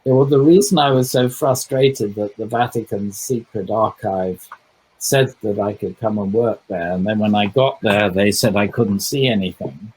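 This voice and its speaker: A man with a fairly low, husky voice answers questions as a visiting historian. He talks in a thoughtful, digressive way and stops often to find his words. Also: normal speed, darkish tone, rough recording. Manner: thoughtful, digressive, with frequent hesitations. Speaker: historian guest. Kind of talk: conversational book talk.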